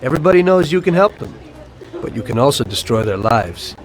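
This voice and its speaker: A man's voice: medium pitch, a little fast, lightly acted, poor audio. An actor playing a former cab driver turned mafioso who coolly tells his story to a detective in a game cutscene. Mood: calm. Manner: calm, matter-of-fact storytelling. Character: male mafioso turned informant, calm and unrepentant. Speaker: narrator of his past. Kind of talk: video game cutscene acting.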